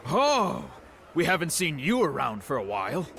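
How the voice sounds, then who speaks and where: An actor playing a courteous, eager-to-please innkeeper in a video game. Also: male voice, on the high side, wildly swooping pitch, normal speed, vocal fry, a bit bright, a little hiss or hum, full character voice. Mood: welcoming. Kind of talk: video game dialogue acting.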